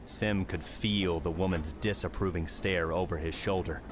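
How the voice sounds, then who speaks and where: A man reading scripted fiction as an audiobook narrator, in a measured storytelling manner. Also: deep voice, steady tone, music in the background.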